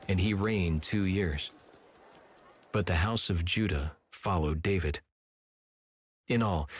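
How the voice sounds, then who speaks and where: A man narrating scripture from a script in a measured, solemn manner. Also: low voice, breathy edge, no room sound. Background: music.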